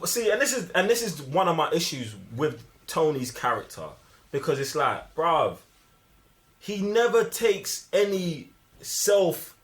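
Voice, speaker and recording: A man's voice: a little high, sing-song, unhurried. A man with a London accent in a casual, slang-filled film debate, speaking insistently and emphatically. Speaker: film review host.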